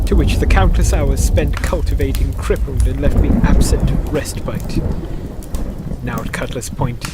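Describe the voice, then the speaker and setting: An actor playing a haughty, suspicious innkeeper in a radio play, declaiming scornfully in archaic English over a noisy background. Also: male voice, a little high. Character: suspicious, haughty innkeeper, a hostile zealous father. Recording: noisy background with ambient sound effects.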